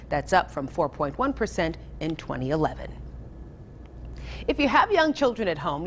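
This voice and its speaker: A woman's voice: mid-range voice, a little hiss or hum. An American woman hosting a news show, reading scripted items as a presenter.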